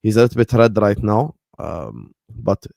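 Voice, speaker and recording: A man's voice: fairly low pitch, very animated, unhurried, gravelly, darkish tone, no echo. Host, male, easygoing football banter, dry close mic. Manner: chatty, repeats "you get me", mixes in Arabic phrases. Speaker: podcast host.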